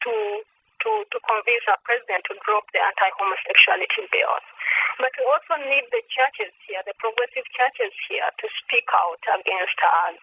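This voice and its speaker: A woman with a Ugandan accent speaks earnestly in the manner of an activist, often restating a phrase for emphasis. Her voice is fairly high for speech yet comes through a thin, narrow telephone line with some noise on it. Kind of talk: earnest advocacy talk on a conference call. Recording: tinny, noisy phone conference line.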